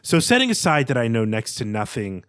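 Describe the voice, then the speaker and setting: A man's voice: medium pitch, sing-song, a little fast, fairly bright tone, no echo, studio quality. A man co-hosting a literary discussion podcast, speaking off the cuff in a thoughtful, hedging, analytical way. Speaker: podcast co-host.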